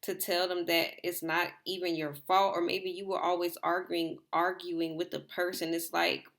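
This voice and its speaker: A woman giving a rambling, casual tarot reading to her viewers, her voice slightly hoarse. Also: on the low side, level tone, normal speed, fairly bright tone. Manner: rambling, repeats herself, filler "like". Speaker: tarot reader.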